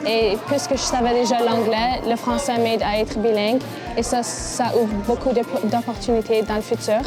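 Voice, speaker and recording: A young woman student from Morocco speaks in a flat, monotone way. Loud music plays behind her. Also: fairly high pitch, normal speed.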